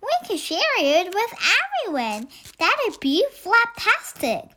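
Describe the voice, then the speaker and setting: A young girl voicing excitable, friendly owl schoolchildren in a storybook, exclaiming in an animated way. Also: very high voice, slow, voice pushed up, heavily acted. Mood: excited.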